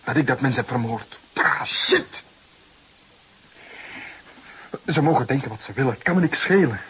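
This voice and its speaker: An actor playing a desperate, defensive young murder suspect of about twenty, nervy and halting under interrogation in a crime drama. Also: male voice, mid-range voice, animated, fairly bright tone. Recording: clearly hissy background noise.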